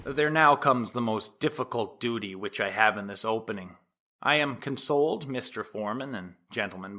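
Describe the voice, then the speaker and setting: An animated, formal Victorian prosecutor. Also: male voice, on the low side. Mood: solemn, earnest. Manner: deliberate, emphatic, measured rhetorical phrasing. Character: earnest, formal 1890s male prosecutor addressing a jury. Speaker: actor playing a prosecutor. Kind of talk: dramatic courtroom opening speech, formal oratory.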